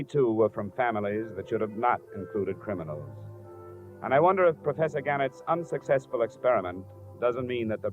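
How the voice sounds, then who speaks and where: An actor playing a blunt, hard-boiled American investigator in clipped, no-nonsense 1950s radio detective style. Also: male voice, on the low side, close to an everyday voice. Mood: sober, reflective. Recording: muffled vintage 1950s radio recording.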